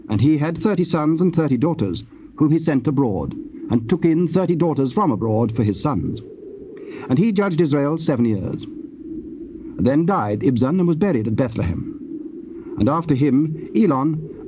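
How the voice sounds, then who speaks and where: Guy reading bible narration, solemn n measured, mid voice, dull old tape sound. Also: mid-range voice. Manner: measured, formal. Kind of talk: solemn scripted scripture narration. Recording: muffled old cassette, faint hiss.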